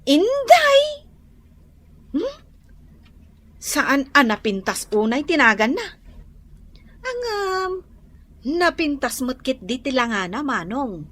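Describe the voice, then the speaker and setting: A woman's voice: high voice, very animated, slow, long pauses, fairly bright tone, no echo, full character voice. A woman acting a young, teasing, bickering sister in an Ilocano comedy radio drama, with an Ilocano accent. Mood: teasing, playful.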